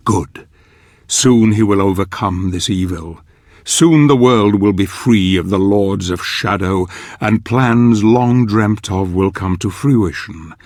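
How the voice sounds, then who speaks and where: Solemn, scheming older mentor narrating dark fantasy game; unhurried, measured, close dry sound. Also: male voice, fairly low pitch, no echo. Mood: resolute, urging. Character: grave older male chronicler, scheming mentor, solemn and foreboding.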